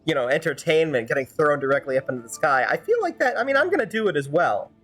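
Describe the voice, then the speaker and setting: A woman streamer with a low-pitched voice improvises commentary over a video game. She talks in a sarcastic, rambling way. Music plays behind her. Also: sing-song, fast talker, husky.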